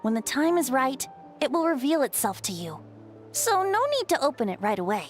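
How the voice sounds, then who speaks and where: A woman's voice: sits high, big pitch swings, fairly quick, loud music behind the voice. A woman voicing a playful, boyish young male bard in a video game, light and youthful, with a theatrical, teasing lilt. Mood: gently earnest.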